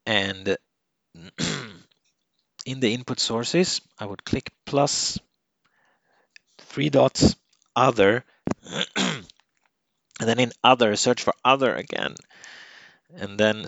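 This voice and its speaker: A man from Sweden, a native Swedish speaker, talking through a software setup step by step, halting often with fillers and restarts. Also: lowish voice, creaky, dry recording. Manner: explanatory, halting with frequent "uh" and restarts. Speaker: software tutorial presenter. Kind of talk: unscripted screen-recording walkthrough.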